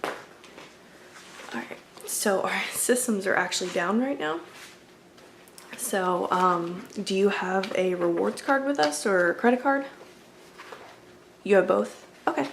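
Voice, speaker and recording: A woman's voice: mid-range voice, takes it slowly, gravelly, pauses often, a little hiss or hum. A woman doing an ASMR roleplay as a friendly, accommodating video game store clerk, chatting in a casual, polite and apologetic way. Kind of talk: ASMR roleplay, casual customer-service chat.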